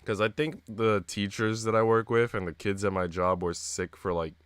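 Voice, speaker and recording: A man's voice: on the low side, fairly even intonation, moderate pace, clean tone, dry recording, clean studio sound. A man with a congested, sick voice livestreaming a visual novel, switching between reading narration aloud and slangy, rambling casual chat. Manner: informal, slangy, rambling asides. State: congested with a cold. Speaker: live streamer playing a visual novel.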